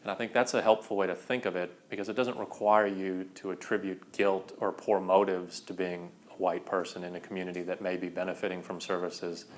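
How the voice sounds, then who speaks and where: A man speaks in a low voice with very little rise and fall. He sounds composed and articulate, explaining his view in an interview. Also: flat delivery, a little fast, few pauses, a little hiss or hum.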